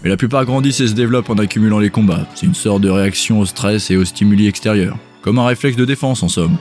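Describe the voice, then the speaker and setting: Man acting a low, brisk, cynical rogue scout. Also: darkish tone, natural voice. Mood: matter-of-fact. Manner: offhand, matter-of-fact explaining. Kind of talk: audio drama character dialogue. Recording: music under the voice.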